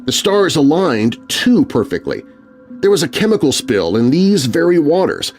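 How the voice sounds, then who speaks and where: A man performs an earnest older teenage boy in a kids' horror tale. He is stern and ominous, speaking like a campfire storyteller, with a very animated delivery over faint music. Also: a little high, normal speed, pitched above the performer's own voice. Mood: spooky storytelling. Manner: stern, hauntingly warning. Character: earnest eldest teenage brother, ominous, stern believer in legend.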